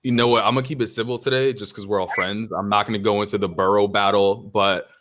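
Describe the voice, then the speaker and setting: New york guy on a panel, kinda low voice, joking around, lots of likes. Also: fairly even intonation, quick pace, fairly bright tone, a little hiss or hum. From New York City. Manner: joking, storytelling, heavy fillers. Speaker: podcaster guest. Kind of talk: casual panel chat.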